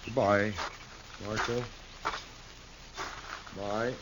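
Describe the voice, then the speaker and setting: Dazed humble settler, low voice, very slow speech, old radio western. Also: male voice, flat delivery. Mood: subdued, grateful. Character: meek, dazed, humble frontier settler man. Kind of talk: 1950s radio western acting. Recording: 1950s radio recording with faint hiss.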